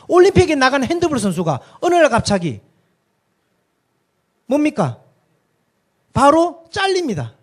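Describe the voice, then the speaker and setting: A man with a regional dialect lectures on stage with a high-set voice that rises and falls widely. He drives his points home emphatically and keeps firing rhetorical questions at the audience. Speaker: speaker at a talk concert.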